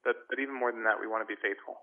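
A man's voice: lowish voice, fairly even intonation, phone-quality sound. A man, a pastor answering earnestly on a radio show, often restarting words as he talks. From Brooklyn, New York. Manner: earnest, halting, repeats words when starting.

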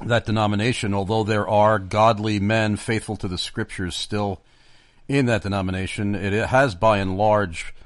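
A man's voice: lowish voice, steady tone, normal speed, dry recording. A man hosting a live radio interview from a studio, chatty and full of fillers. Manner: loud greeting, then chatty with many fillers. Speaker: radio talk show host.

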